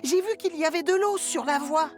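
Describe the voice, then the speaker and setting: A woman's voice: very high-pitched, brisk, music in the background. A woman voicing a friendly, helpful, curious little male train engine in a children's cartoon dub. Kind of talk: children's animated series dub.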